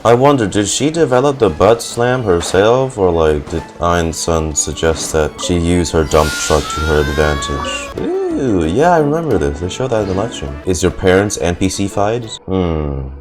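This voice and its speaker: A woman's voice: rumbling low, very animated, normal speed, few pauses, darkish tone. A woman streamer giving casual, joking, sarcastic and sweary live reactions to a game, with music playing behind her.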